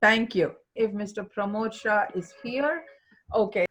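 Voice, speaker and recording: A woman's voice: medium pitch, a little slow, a bit bright. Polite woman moderating a webinar. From India. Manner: polite, repeats thanks.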